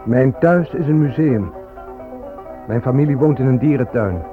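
A man's voice: medium pitch, normal speed, natural voice. Man acting a defiant young student, phone-like radio sound. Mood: bitter, ironic. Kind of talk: Dutch radio drama acting, declaimed monologue and dialogue. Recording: phone-like old radio play, music behind.

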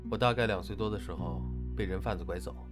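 Guy playing easygoing teasing young man, lowish voice, audio drama w guitar behind. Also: fast, close to an everyday voice. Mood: reflective. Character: teasing, protective young male stage partner, steady and affectionate. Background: guitar music.

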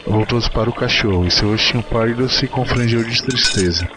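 A man's voice: mid-range voice, a little fast, low-quality recording. A Brazilian man giving a dramatic reading of a short story as its narrator. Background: music.

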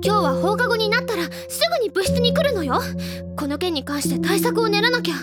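A very high, piping voice of a dramatic teenage schoolgirl in an audio drama. She talks theatrically, drops to hushed tones and then bursts out again, with music loud behind her. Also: expressive, brisk. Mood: fretful. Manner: theatrical, swings between whispers and outbursts. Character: dramatic, excitable teen schoolgirl club senior.

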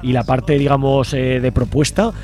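A man's voice: mid-range voice, steady tone, normal speed, darkish tone. A man with an accent from Spain hosting a casual radio chat and introducing his guests. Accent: Spanish. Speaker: radio show host. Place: radio studio.

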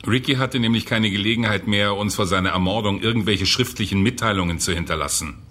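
Low-voiced cynical prison director. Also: male voice, quick pace, a bit bright. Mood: condescending, dry. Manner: condescending, bureaucratic, clipped. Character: cynical, callous male youth prison director. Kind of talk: German radio drama acting.

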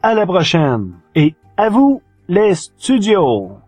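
A man's voice: sits high, huge pitch swings, slow pace, darkish tone, husky, no room sound. A man with a Quebec French accent giving an enthusiastic, informal podcast review from his notes. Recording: music behind the voice. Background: music.

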